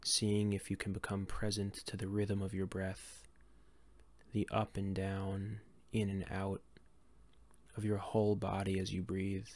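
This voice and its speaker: A man leading a guided meditation in a gentle, calm, unhurried way with long pauses between phrases. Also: deep voice, no room sound. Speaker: meditation guide and series host.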